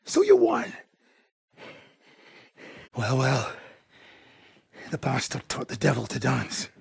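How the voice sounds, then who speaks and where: An actor playing a foul-mouthed, swaggering mercenary gang leader in a video game, brash and sardonic, with a raspy, gravelly voice. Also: male voice, mid-range voice, wildly swooping pitch, slow, no room sound, clean studio sound, exaggerated voice. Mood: amused, wry. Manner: brash, sardonic, commanding, swearing freely. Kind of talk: game dialogue, coarse medieval banter and battle shouts.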